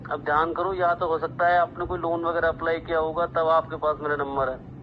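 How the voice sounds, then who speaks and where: A man talks casually on the phone. His voice is on the high side, and he protests politely, repeating himself. The line is dull, with clear noise behind him. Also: little pitch movement, fairly quick. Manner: polite, apologetic, repetitive protesting. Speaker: prank call recipient, office worker.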